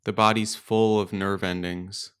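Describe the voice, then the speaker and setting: A man leading a guided meditation as a teacher, speaking in a calm, gentle, unhurried way with pauses. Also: fairly low pitch, smooth. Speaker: meditation teacher and podcast host. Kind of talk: guided meditation, slow and instructional.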